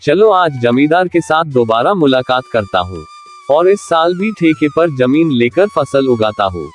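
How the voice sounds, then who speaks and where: An actor voicing a clever, humble poor farmer in a cartoon, polite and quietly confident. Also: male voice, on the high side, sing-song, measured pace, soft background music. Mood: calm.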